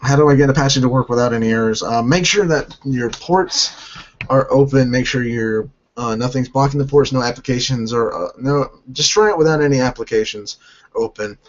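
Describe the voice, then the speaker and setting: A hoarse man from North Carolina hosting a gaming channel, answering viewer questions in a halting way and often restarting his sentences. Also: mid-range voice, dull audio. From North Carolina, United States.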